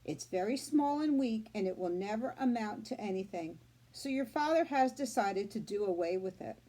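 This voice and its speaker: A woman's voice: mid-range voice, expressive, moderate pace, mellow, lightly acted. A woman voicing a practical farm mother in a storybook, explaining things plainly and firmly. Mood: matter-of-fact. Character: farm mother, practical, matter-of-fact and firm.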